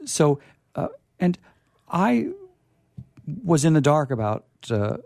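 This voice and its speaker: A man hosting a casual on-air interview in a chatty, halting, wordy way, with playfully grandiose touches. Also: a little high, very animated, vocal fry, a little mellow, no echo, studio quality. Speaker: radio host. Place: radio studio.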